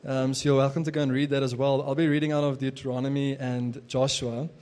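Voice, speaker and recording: A man's voice: mid-range voice, flat delivery, fast, a little mellow. A young adult man whose first language is Afrikaans, preaching a casual sermon as pastor, with many fillers and restarts.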